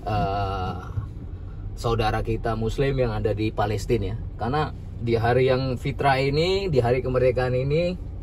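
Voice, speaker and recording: Male vlogger, chatty, rambling, off-mic on a loud city street with traffic behind. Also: medium pitch, moderate pace. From Indonesia. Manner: chatty, rambling, repeats tag questions. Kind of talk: casual walking vlog. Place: busy city street outdoors. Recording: distant outdoor street recording.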